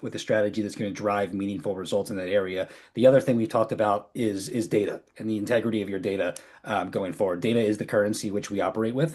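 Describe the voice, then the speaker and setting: A man presenting in a business webinar in a conversational product-pitch style. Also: darkish tone, breathy edge. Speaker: webinar presenter, company founder.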